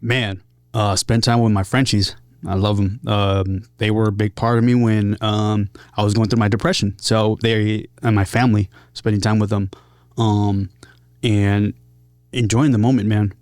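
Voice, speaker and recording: A man speaking casually and openly as an interview guest, earnest, in short halting phrases. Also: fairly low pitch, little pitch movement, darkish tone, clear, resonant tone, no room sound. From San Diego area, United States. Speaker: podcast guest, musician. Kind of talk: casual, candid interview talk.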